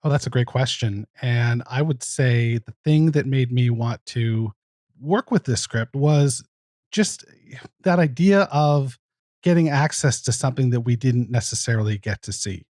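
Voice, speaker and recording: A man speaking as a podcast guest, explaining his project casually and in a thoughtful, measured way. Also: mid-range voice, a little mellow, no echo, clean studio sound. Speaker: podcast guest, producer and narrator. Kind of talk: casual interview answers.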